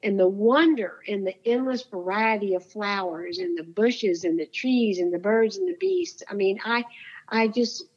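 A woman's voice: mid-range voice, animated, moderate pace, darkish tone, husky, muffled recording. A woman guest in an interview, telling stories in an earnest, heartfelt way with a soothing voice. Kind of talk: casual interview storytelling.

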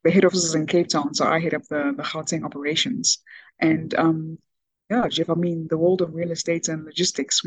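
A woman's voice: sits low, no room sound, poor audio. A woman originally from Ghana, speaking as an interview guest with frequent ums and restarts. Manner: hesitant, many ums and restarts. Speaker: podcast guest, business executive. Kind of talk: conversational interview.

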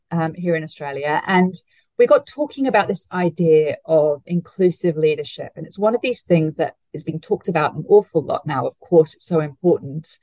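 A woman hosting an interview podcast, talking thoughtfully with fillers and slowing down to stress key words. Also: on the low side, mellow, no room sound, low-quality recording. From Hong Kong. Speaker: podcast host. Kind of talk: conversational interview.

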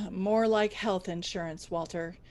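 Actress playing a proud, stubborn radio station boss. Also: female voice, mid-range voice, fairly even intonation, slow, darkish tone, lightly acted. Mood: dry. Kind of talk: scripted radio comedy acting.

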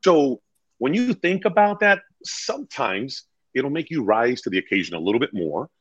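A man talking conversationally as an interview guest, earnest and emphatic, telling stories and acting out dialogue in a deep voice. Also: very animated, normal speed, a bit bright, slightly rough, lo-fi sound. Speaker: real estate agent being interviewed as a guest.

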